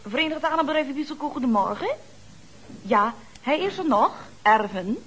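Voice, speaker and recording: An actress playing a brisk, familiar office telephonist in a Dutch radio comedy. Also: female voice, sits high, lively intonation, a bit bright. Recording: noisy old radio recording.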